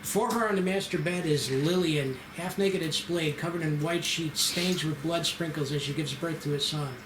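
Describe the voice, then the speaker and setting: A man's voice: a little high, normal speed, background noise. A man narrating a screenplay at a table read with a dramatic storytelling delivery. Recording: echoey room with background music.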